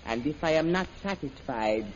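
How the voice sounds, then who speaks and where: Villainous prince for vintage radio drama: husky, highish, imperious, deliberate, coldly threatening. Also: male voice, expressive. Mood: menacing. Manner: formal, deliberate, commanding. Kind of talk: 1940s radio serial acting. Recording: dull, lo-fi old radio recording.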